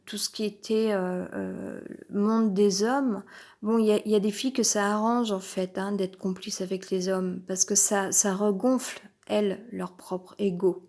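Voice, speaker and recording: A woman's voice: mid-range voice, warm tone. A woman with a head cold talking off the cuff in a rambling, hesitant monologue, full of fillers and restarts. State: has a cold, stuffy. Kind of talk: improvised personal monologue. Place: uncomfortable spot with noise overhead.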